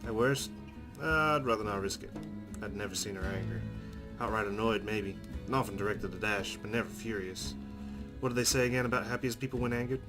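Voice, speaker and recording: A man's voice: lowish voice, fairly quick, rough recording. A man reading visual novel narration aloud as a let's player, with game music behind him. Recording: music under the voice. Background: game music with plucked guitar.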